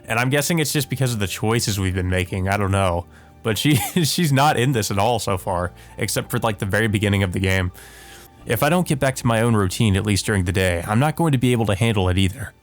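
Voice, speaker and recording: Low-voiced man doing a let's play. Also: lively intonation, quick pace. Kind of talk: casual commentary while reading visual novel text aloud. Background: video game music.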